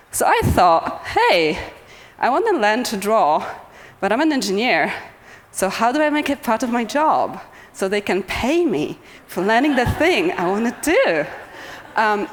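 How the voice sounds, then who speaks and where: Cheerful Polish woman speaking in a reverberant hall. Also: medium pitch. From Poland. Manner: cheerful, self-deprecating, laughs often. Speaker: conference speaker. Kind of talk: conference talk. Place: conference hall.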